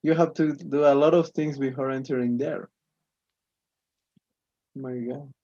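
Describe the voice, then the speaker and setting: Guy student asking stuff, halting and repeating, warm voice, dull lo-fi sound. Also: mid-range voice, fairly even intonation, vocal fry. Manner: curious, halting, repeats words. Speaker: language student. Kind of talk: casual conversation practice.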